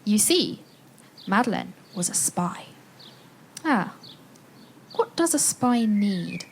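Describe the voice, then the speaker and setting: Woman playing quest narrator for a kids' audio play: theatrical, mid-pitched, suspenseful pauses, speaks straight to listeners, noticeable background ambience. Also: big pitch swings, creaky, a little hiss or hum, full character voice. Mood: inviting, intriguing. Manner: addresses listeners directly, dramatic pauses. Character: adventurous woman storyteller guiding listeners on a quest. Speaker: storyteller of a participatory audio play. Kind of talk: theatrical children's storytelling.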